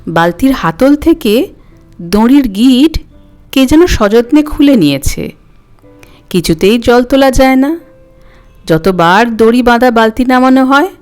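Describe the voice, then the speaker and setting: A woman's voice: on the high side, darkish tone. A woman reading a story aloud as a scripted audiobook narrator. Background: music.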